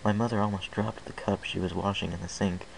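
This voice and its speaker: A man narrates a first-person story with an earnest, unpolished delivery. His voice is very deep and carries a gravelly fry. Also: steady tone, a little fast, a little hiss or hum, low-quality recording. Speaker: fan fiction reader.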